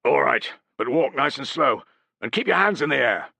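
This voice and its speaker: A man's voice: on the high side, very animated, moderate pace, vocal fry, fairly bright tone, breathy edge, no room sound, voice pushed up, exaggerated voice. A man voicing a nervous young Roman sentry who challenges people in a shaky, hesitant way. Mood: wary.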